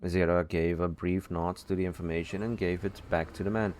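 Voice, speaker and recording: A man reading a scripted story as narrator, halting now and then and correcting his words. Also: sits low, fairly even intonation, darkish tone. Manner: halting, stumbles over words and corrects himself.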